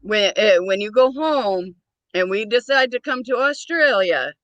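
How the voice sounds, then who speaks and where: A woman's voice: medium pitch, animated, unhurried, dry recording, lo-fi sound. A woman chatting casually and playfully, passing on her son's questions and laughing easily. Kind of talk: casual chat.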